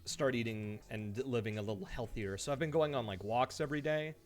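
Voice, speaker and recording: A man streaming live, chatting casually to viewers and telling stories in an animated way, acting out his reactions. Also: medium pitch, fairly quick. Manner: animated storytelling, mimics his own reactions; laughs easily while talking. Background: background music.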